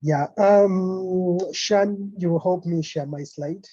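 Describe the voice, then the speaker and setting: A man from Uganda presents over a video call, pausing often with fillers. His voice is very dark and husky. The audio is dry and dull, like a lo-fi call. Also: high voice, no room sound. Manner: hesitant, many fillers. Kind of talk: online conference presentation.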